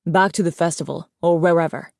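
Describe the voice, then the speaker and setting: A woman's voice: lowish voice, brisk, vocal fry, no echo, studio quality. A woman voicing a young male dragon in an audiobook, arrogant, brusque and sarcastic, with a defensive hot temper. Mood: brusque, impatient.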